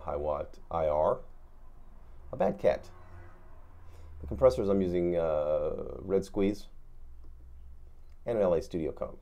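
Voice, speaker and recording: A man's voice: low voice, creaky, mellow, lo-fi sound. A man giving an off-the-cuff, casual guitar effects tutorial, laid-back and rambling as he searches for words. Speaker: guitar effects demonstrator, host.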